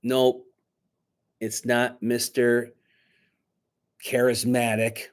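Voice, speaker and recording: A man reading a picture book aloud dramatically to children, pausing to coax them with questions like a teacher. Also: medium pitch, level tone. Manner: dramatic, teacherly, coaxing young listeners with questions.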